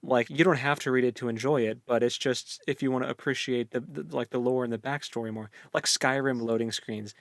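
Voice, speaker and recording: Young man, average pitch, dry recording, book podcast co-host, halting informal enthusiastic talk. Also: fairly even intonation, dry recording. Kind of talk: casual book discussion.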